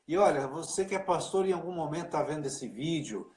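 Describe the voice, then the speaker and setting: A man's voice: on the high side, animated, a little fast, a little mellow. A man, a pastor hosting a casual livestream chat over a video call, informal and talkative. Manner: chatty, informal, full of fillers like né and cara. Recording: echoey room.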